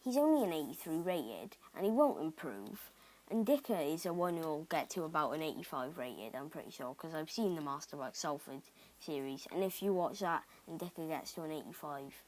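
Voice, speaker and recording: A young man from Cardiff with a Cardiff Welsh accent, chatting excitably through gameplay commentary with rambling asides. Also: fairly high pitch, normal speed, low-quality recording. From Cardiff, Wales. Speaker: gaming YouTuber.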